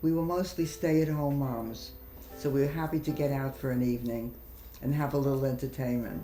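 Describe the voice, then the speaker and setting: A woman's voice: rumbling low, fairly even intonation, fairly quick, warm tone, echoey room, soft background music, rough recording. An elderly woman, casually reminiscing in an interview. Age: older, 80s. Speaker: interviewee, longtime mahjong player.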